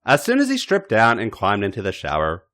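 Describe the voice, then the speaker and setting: Deep-voiced man narrating with sweeping expression. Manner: expressive, theatrical emphasis with pauses. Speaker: podcast host reading fan fiction. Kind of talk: dramatic scripted story narration.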